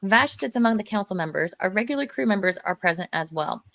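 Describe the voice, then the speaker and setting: A woman's voice: mid-range voice, expressive, fast talker, creaky, a bit bright. A woman narrating stage directions informally for a live script read.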